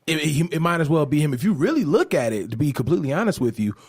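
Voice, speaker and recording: A man's voice: a little high, big pitch swings, fast talker, darkish tone, breathy edge. A man co-hosting a podcast, debating pop culture casually in a blunt, opinionated way. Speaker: podcast co-host.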